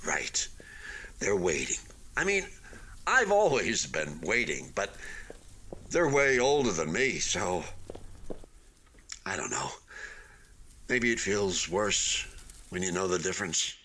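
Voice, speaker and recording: An actor in a radio play voicing an unfinished hotel building, a wistful but stubbornly hopeful man who talks in a halting, conversational way. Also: deep voice, big pitch swings, bright, forceful tone, a little hiss or hum, full character voice. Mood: wistful, subdued. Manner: halting, conversational, with sighs and emphatic swings.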